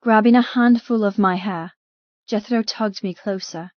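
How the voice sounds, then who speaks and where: A woman's voice: mid-range voice, measured pace, dry recording, muffled recording. A woman narrating a dramatic first-person novel as a frightened captive. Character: frightened captive young woman telling her story. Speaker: audiobook narrator.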